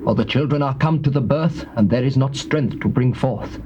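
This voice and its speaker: A man's voice: medium pitch, normal speed, lightly acted. Man acting a grave royal envoy, muffled tape. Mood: grave distress. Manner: formal, grave. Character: grave, troubled male royal envoy seeking help. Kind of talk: dramatized scripture reading, classical stage delivery. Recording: noisy, muffled old cassette tape.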